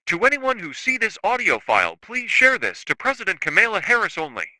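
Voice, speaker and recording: A man's voice: high-pitched, huge pitch swings, a little fast, bright, forceful tone, full character voice, poor audio. A man playing an earnest young hero in an amateur web drama. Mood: grave, urgent. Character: earnest young male bomber hero leaving grave messages. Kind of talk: amateur web series voice acting.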